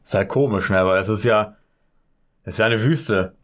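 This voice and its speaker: Man, lower pitch; relaxed podcast co-host telling the story. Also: moderate pace. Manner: relaxed, laid-back, reads out case details. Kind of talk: casual true-crime podcast storytelling.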